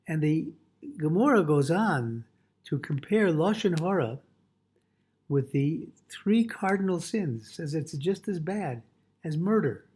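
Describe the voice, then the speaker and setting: Husky male rabbi giving a Torah lesson. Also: fairly high pitch, animated, darkish tone. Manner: explanatory, halting, phrase-by-phrase delivery with Hebrew quotations. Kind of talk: religious lecture, reading and translating a text.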